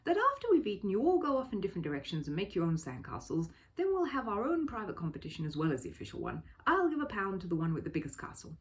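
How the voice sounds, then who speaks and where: Woman reading as hearty storybook dad, lively pitch. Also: fast talker, few pauses. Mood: jovial, easygoing. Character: hearty, easygoing middle-aged father of a family. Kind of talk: children's storybook character reading.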